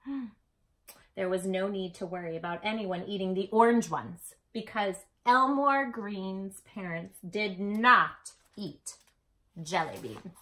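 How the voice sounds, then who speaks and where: Bright, expressive woman hosting children's storytime. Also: mid-range voice, slow, rough recording. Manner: affectionate, expressive, emphatic storyteller delivery with questions to the listener. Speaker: children's read-aloud host and tutor. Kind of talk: warm storytime talk addressed to young children.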